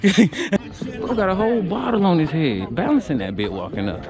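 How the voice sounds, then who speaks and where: A man's voice: high-pitched, huge pitch swings, moderate pace. A young man vlogging while hiking up a rocky mountain outdoors, playful and teasing, laughing often, on an action camera. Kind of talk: casual hiking vlog chat.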